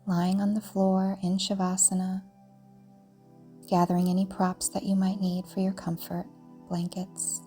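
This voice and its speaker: Soft breathy woman doing guided meditation, really slow n soothing, faint music behind her. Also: medium pitch. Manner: soft, breathy, soothing, deliberate delivery with pauses. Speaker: yoga nidra teacher guiding a practice.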